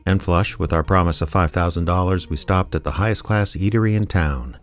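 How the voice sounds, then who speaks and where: An actor playing a wry, world-weary small-town private investigator in a radio drama, with a dry, conversational delivery. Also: male voice, sits low, brisk, faint music behind, natural voice. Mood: wry, musing. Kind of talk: first-person detective radio drama narration.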